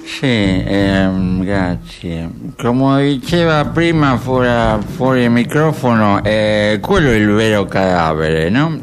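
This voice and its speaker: An actor playing a solemnly absurd, absent-minded philosopher musing haltingly on the radio. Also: male voice, lowish voice, animated, music in the background. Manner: halting, musing, seeks agreement. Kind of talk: mock-philosophical radio monologue.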